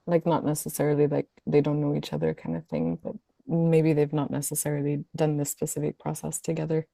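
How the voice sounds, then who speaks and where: A woman's voice: low voice, fairly quick, creaky, warm tone, no room sound. A woman speaking as a panelist, hesitant and full of fillers.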